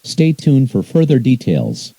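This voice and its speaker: A man's voice: mid-range voice, wildly swooping pitch, measured pace, creaky. A man reads a scripted emergency alert announcement in a formal, impersonal manner. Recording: muffled, hissy emergency broadcast audio.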